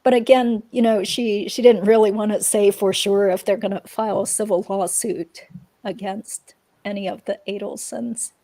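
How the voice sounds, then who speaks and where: A woman's voice: medium pitch, fairly even intonation, moderate pace, warm tone, no echo. A woman hosting a livestream, chatting informally in a casual commentary style. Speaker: livestream host. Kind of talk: casual chat, true-crime commentary.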